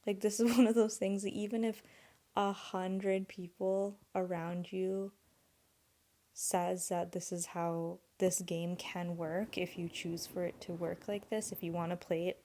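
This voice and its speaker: Young woman, native Urdu speaker, solo podcast host; low, warm, mellow voice, earnest and rambling. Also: flat delivery, measured pace. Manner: earnest, rambling, thinks aloud with many "like"s.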